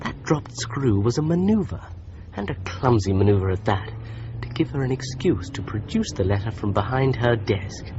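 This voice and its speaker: An actor playing a man who thinks through a murder case aloud in a calm inner monologue while driving. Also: medium pitch, normal speed, lo-fi sound, background noise. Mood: musing. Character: thoughtful male amateur sleuth driving. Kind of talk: radio drama.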